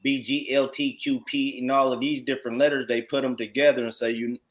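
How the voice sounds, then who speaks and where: A man's voice: mid-range voice, level tone, fairly quick. An American man giving informal religious teaching as a congregation teacher, emphatic and repetitive, often checking in with rhetorical tag questions. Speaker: congregation teacher commenting on read text.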